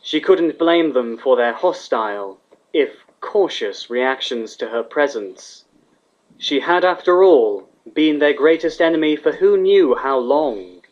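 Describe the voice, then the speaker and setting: A man's voice: fairly high pitch, lively intonation, unhurried. A man reading fan fiction aloud as a scripted story narrator. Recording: dull, lo-fi sound.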